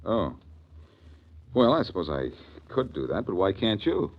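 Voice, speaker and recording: An actor playing a cool, wisecracking male sleuth in a 1940s radio drama, with a dry, unhurried manner. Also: low-pitched, very animated, heavily acted. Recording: lo-fi 1940s radio recording with faint hiss.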